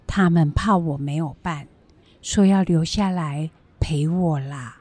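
A woman playing a kindly grandmother in a children's educational radio drama, with a husky, plain-spoken delivery. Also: sits low, slow pace, warm tone, no room sound. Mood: fond, relaxed. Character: kindly grandmother raising her grandchildren, fond of fish heads.

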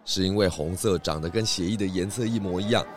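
A man playing a calm, kindly cartoon pig detective who explains things patiently and with gentle amusement in a children's radio drama. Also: deep voice, fast, a crowd in the background, natural voice. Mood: amused, explaining.

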